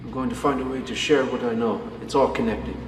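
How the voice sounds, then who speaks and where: An actor playing a troubled, urgent neighbour turned whistleblower in a radio play. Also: male voice, mid-range voice, steady tone, a little fast, background noise, natural voice. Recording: echoey, distant, reverberant, music over it.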